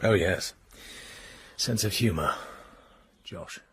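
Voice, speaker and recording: An actor playing a wry British secret agent in a radio drama, with dry, understated delivery. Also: male voice, sits low, slow, fairly bright tone, breathy edge, dry recording, heavily acted.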